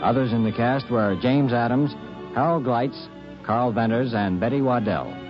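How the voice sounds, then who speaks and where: A man's voice: mid-range voice, moderate pace. A man reading scripted credits in a formal, orderly 1950s radio announcing style. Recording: muffled 1950s radio recording. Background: faint music.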